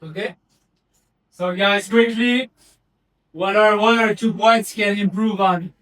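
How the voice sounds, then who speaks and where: A man running a public speaking group, his voice high and heard from some distance off the mic. He explains points loosely, stacking up fillers as he goes. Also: steady tone, slow pace, fairly bright tone. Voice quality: rambling, many fillers. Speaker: group facilitator. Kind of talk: casual coaching feedback. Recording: voice a bit far from the mic.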